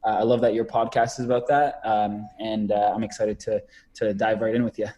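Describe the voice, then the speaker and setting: A man's voice: on the low side, little pitch movement, fairly quick, mellow. A man in his twenties, a podcast guest talking casually over a video call, rambling with many fillers and repeated words. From Canada. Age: 20s.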